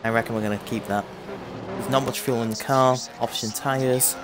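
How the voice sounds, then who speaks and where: A hoarse young man giving a chatty, rambling play-by-play of a racing game. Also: medium pitch, steady tone, moderate pace, noisy background. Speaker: gaming commentator. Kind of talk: casual racing game commentary. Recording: loud game music underneath.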